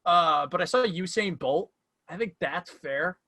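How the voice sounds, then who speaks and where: A young man co-hosting a casual sports podcast, excitable and quick to argue loudly in banter. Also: high-pitched. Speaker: podcast co-host.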